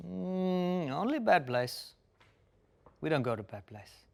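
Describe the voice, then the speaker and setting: A man playing a small, excitable, superstitious creature in a fantasy table read, speaking broken pidgin mixed with invented words. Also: high-pitched, takes it slowly, creaky, exaggerated voice. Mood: wary, simple.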